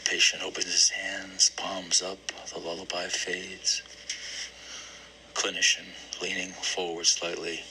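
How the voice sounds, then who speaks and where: Male stage-direction narrator: deliberate scripted delivery, bright forceful tone, faint background noise. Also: deep voice, completely flat delivery, poor audio.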